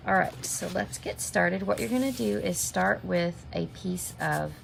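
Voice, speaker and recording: A middle-aged woman walks viewers through a craft project, her low voice heavily gravelly and a little stuffy from a cold. She chats casually and greets viewers by name as she works. A steady noise sits under the camera-mic recording. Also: a little slow. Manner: chatty, step-by-step, talks to viewers by name. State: sniffling with a minor cold. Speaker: stamping craft demonstrator. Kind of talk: live craft tutorial. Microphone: camera mic at desk. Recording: noticeable background noise.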